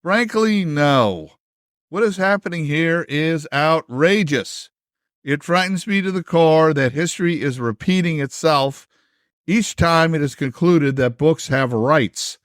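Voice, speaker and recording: A man playing an anxious, theatrical history book puppet in a stage puppet musical, with melodramatic, drawn-out delivery. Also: on the high side, dry recording, studio quality, lightly acted. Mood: dismayed, grave. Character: dramatic, anxious old-fashioned history book puppet, male.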